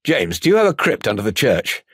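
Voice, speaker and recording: Man reading as a firm, husky wartime hero. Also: on the low side, sing-song, brisk, fairly bright tone, no room sound, studio quality, exaggerated voice. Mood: firm, urgent. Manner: firm, urgent. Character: Clement, resolute man taking command during a wartime air raid. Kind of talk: audiobook character dialogue.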